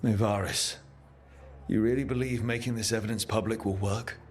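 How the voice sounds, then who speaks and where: A man's voice: lowish voice, fairly even intonation, moderate pace, lightly acted. A man playing a level-headed adventurer hero in a fantasy game, sincere and questioning. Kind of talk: dramatic fantasy game acting. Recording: music under the voice.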